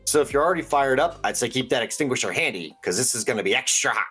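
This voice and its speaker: Eccentric late-night conspiracy radio host male actor, husky, theatrical and rambling, music underneath. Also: mid-range voice, expressive, fast, sharp tone. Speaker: radio call-in show host. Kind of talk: audio drama radio show patter.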